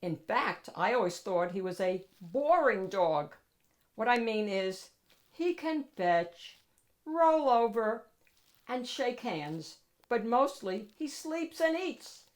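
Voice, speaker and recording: A woman reads a children's picture book aloud as host, with expressive, dramatic emphasis. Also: a little high, slow pace. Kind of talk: animated storybook narration for children.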